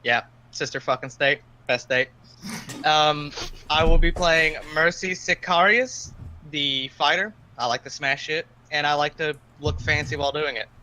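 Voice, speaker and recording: A man's voice: on the high side, unhurried, sharp tone, slight background noise. A man, a player joking crudely in casual chat. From Kentucky, USA.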